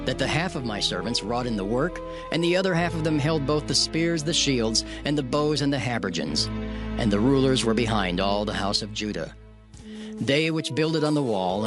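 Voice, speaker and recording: A man narrating a dramatized King James Bible reading in a solemn, measured way. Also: medium pitch. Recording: narration over clear music bed.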